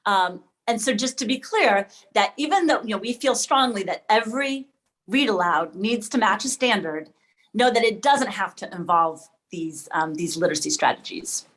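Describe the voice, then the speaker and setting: A woman with a medium-pitched voice hosts an online meeting for educators. She presents conversationally, like a moderator opening things up to the group. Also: lively intonation, moderate pace, a bit bright. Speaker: host of an online session for educators.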